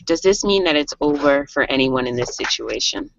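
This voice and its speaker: Deep-voiced, animated woman moderator. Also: moderate pace, vocal fry, a little hiss or hum. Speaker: moderator reading out questions. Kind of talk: reading questions on a conference call. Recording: dull lo-fi conference call line.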